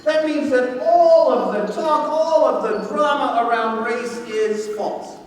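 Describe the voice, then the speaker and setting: Keynote speaker, male: loud, self-assured and boastful, heard from far off in a reverberant banquet hall. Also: extremely high pitch, slow pace, a little hiss or hum. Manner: confident, boastful, jokey lecturing. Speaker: guest speaker, economist. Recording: echoey hall, mic from far off.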